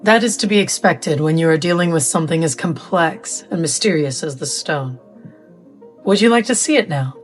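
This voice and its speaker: A woman playing an authoritative scientist in an audio drama, explaining things in a formal, measured way. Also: low voice, lively intonation, soft background music. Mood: stern, explanatory. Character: authoritative, formal female scientist briefing a newcomer. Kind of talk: scripted audio drama dialogue.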